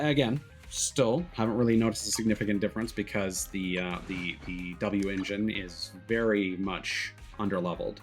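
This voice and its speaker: A man streaming a video game, talking casually and explaining things in a halting, analytical way. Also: lowish voice. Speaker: streamer, host of a gaming live stream. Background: background music.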